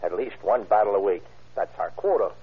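An actor playing a cocky, tough American soldier of fortune with blunt, slangy talk in a vintage radio drama. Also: male voice, on the low side, brisk, slightly rough. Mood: cocky, nonchalant. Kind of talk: 1930s radio drama acting. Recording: muffled, narrow-band vintage radio recording.

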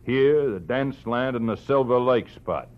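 A man's voice: medium pitch, measured pace, lightly acted. An actor playing a blunt, threatening male bodyguard in a 1940s radio drama. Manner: gruff, threatening slang. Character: tough male henchman bodyguard, thuggish and blunt. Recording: dull old radio recording.

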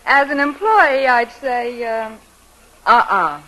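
A woman's voice: a little high, animated, takes it slowly. An actress playing a flirty, wisecracking female receptionist in 1940s radio comedy, with a sassy, teasing manner. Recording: old radio broadcast, faint noise.

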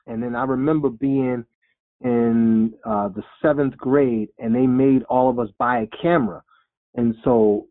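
A man in his forties telling his life story in an interview, animated and quoting himself in lively voices. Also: mid-range voice. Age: 40s. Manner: animated storyteller, acts out quotes. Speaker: guest, rapper. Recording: phone-like lo-fi sound.

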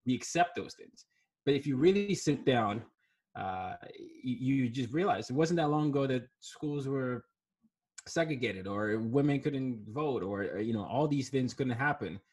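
This is a man with a husky, slightly grainy voice, speaking at an ordinary pitch for a man. He talks through his ideas in an earnest, reflective way and often doubles up words as he gathers a thought. He is a guest from Nigeria in a relaxed conversation. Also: moderate pace. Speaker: author and guest. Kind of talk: conversational interview.

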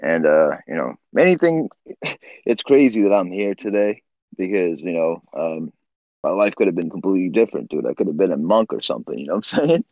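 Podcast guest, man from Nepal, deep voice, easygoing, laughs as he talks, dry lo-fi recording. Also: big pitch swings, fairly quick, no room sound. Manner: laid-back, laughs often, trails off with "uh" and "you know". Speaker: guest, swimbait builder. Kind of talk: casual storytelling interview.

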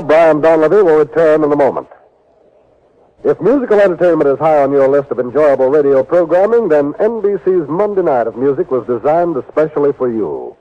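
Male American radio announcer, 1950s style: lightly high, lively intonation, formal scripted read, dull old broadcast sound. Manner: polished, formal. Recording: muffled vintage radio recording.

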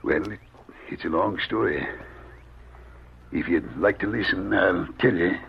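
An actor playing a weary, wary middle-aged drifter in an old radio western, with a husky voice and folksy frontier speech. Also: male voice, low-pitched, measured pace, slight background noise. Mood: guarded. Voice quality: husky, gravelly. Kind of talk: 1940s radio western acting. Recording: muffled old radio recording.

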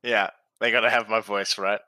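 A man's voice: lowish voice, little pitch movement, normal speed, pushed and bright, no room sound. Man with a mixed English-Australian accent. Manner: easygoing, laughs often, self-deprecating. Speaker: frequent guest co-host. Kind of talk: casual podcast chat.

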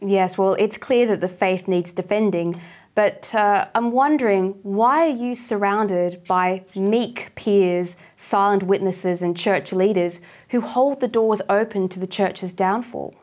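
Female talk host from Australia, mid-pitched, pointed questions. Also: measured pace, a little mellow. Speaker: show host. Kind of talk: interview questions.

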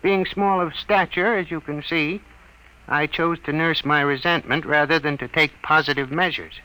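A man's voice: fairly high pitch, steady tone, moderate pace, bright, forceful tone, poor audio. A man acting a small, proud, resentful crackpot inventor in an old radio comedy, with pompous, formal, long-winded speech. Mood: proud, self-important.

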